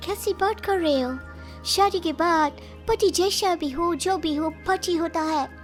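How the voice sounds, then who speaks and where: A woman's voice: very high-pitched, takes it slowly, soft background music. A woman voicing an earnest, devoted young daughter-in-law in a cartoon story, melodramatic in manner. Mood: upset, protective.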